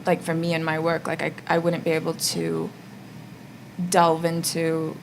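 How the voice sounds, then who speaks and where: Female actor in roundtable. Low, fry-laden voice, reflective and hesitant, clear background noise. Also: completely flat delivery, a little slow. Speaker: actress on a panel. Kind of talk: casual roundtable discussion. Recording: noisy live panel room.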